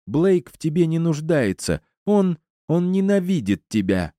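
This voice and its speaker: A man's voice: mid-range voice, animated, slow, dark tone, no echo, clean studio sound, voice pushed up. A man voicing a proud, indignant stepmother with a cutting manner in an audiobook story. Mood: scathing. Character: proud, indignant young stepmother fighting back.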